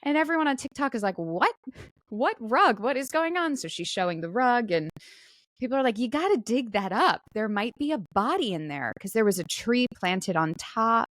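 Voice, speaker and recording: A woman's voice: fairly high pitch, sing-song, normal speed, a bit bright, no room sound, studio quality. A woman co-hosting a casual talk show, telling stories conversationally and acting out quotes as she goes. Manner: conversational storytelling with quoted asides. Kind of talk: casual chat, retelling a viral story.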